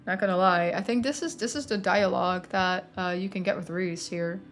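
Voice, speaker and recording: A woman's voice: lowish voice, fairly quick. Casual woman commenting over game music. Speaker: streamer doing a let's play.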